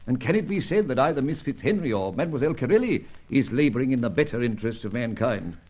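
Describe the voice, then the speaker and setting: Urbane spy chief, animated delivery. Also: male voice, mid-range voice, fast talker, breathy edge. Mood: grave, coolly persuasive. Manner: gentlemanly, hesitant ums, understated and pompous. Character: urbane older British spy chief, ruthless yet jovial. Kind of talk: old-time radio serial acting.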